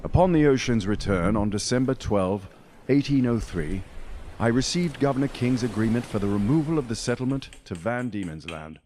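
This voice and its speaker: A man playing a colonial governor who gives a grave, measured report in a radio play. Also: mid-range voice, lively intonation, darkish tone, slight background noise, natural voice. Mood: resolved. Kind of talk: community radio play acting.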